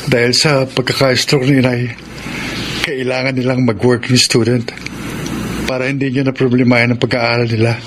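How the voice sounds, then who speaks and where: A man's voice: mid-range voice, flat delivery, moderate pace, background noise, close to an everyday voice. A Filipino man playing a dutiful, respectful and loving eldest son in a radio drama. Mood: concerned, reassuring.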